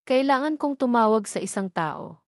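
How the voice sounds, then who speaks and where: Woman reading as a gentle young heroine. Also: a little high, animated, normal speed, no room sound, studio quality, lightly acted. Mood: earnest.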